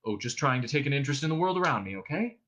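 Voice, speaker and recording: Man playing a quick-witted, bright-voiced traveller. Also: medium pitch, big pitch swings. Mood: coaxing. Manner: quick, exasperated, coaxing. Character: quick-witted male alien traveller, exasperated, slyly persuasive.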